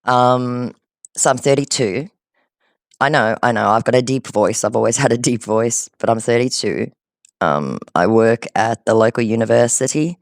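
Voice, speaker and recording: Creaky-voiced man, chatting casually. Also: medium pitch, moderate pace, no room sound, clean studio sound. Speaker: scambaiter on a call with a scammer. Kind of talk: casual phone chat.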